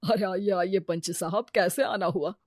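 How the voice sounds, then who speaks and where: Kindly older family patriarch acted by a woman: gentle, soft-tempered, pitched down, dry recording, comedy story. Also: normal speed, dry recording, close to an everyday voice. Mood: welcoming. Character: mild, kindly older father-in-law defending his daughter-in-law.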